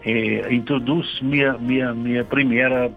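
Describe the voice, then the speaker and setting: A man who is not a native speaker, a guest musician in a radio interview, talking haltingly and searching for words. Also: mid-range voice, fairly even intonation, vocal fry, soft background music. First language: not Portuguese. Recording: dull radio broadcast sound.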